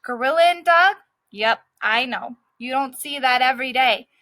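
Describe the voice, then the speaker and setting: A woman's voice: high-pitched, expressive, normal speed, sharp tone, no echo. A woman voicing a scrappy, streetwise male dog who narrates his own story in a brash, cocky way.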